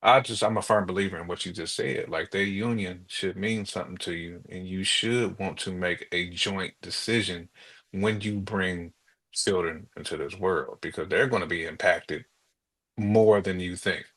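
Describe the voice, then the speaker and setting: A man with a deep voice chats casually as a podcast co-host. He reasons his way through points out loud, often doubling back on his words in a conversational style. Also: steady tone, normal speed.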